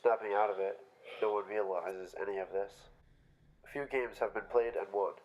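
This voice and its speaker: A man with a low-pitched voice narrates a staged play reading. He reads out the stage directions as scripted narration. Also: steady tone, moderate pace, a little mellow, dull audio.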